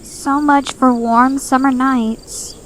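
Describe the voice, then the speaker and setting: A woman playing a sweet, tired teenage girl in a fan audio drama, with soft, wistful delivery. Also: sits high, steady tone, slow pace, slight background noise. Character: sweet teenage girl, sick and sleepy, fond.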